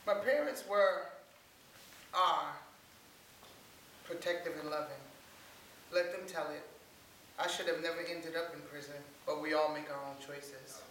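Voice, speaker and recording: A woman's voice: deep voice, a little slow, long pauses, fairly bright tone. A middle-aged woman from Long Island, New York, giving a plain-spoken, conversational staged reading of her life story. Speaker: former prisoner telling her life story. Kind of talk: staged dramatic reading of personal testimony. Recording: very echoey room, distant mic, faint hiss.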